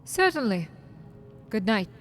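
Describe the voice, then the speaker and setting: A woman's voice: on the high side, big pitch swings, unhurried, faint music behind. A woman voicing a guarded, pragmatic drow assassin in fantasy game dialogue, terse and reserved.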